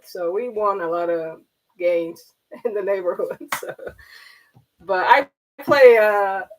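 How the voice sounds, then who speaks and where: A woman's voice: medium pitch, rough recording. A middle-aged woman, hosting a live stream in a rambling, chatty way with many fillers and restarts. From Panama. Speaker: livestream host. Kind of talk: casual chat.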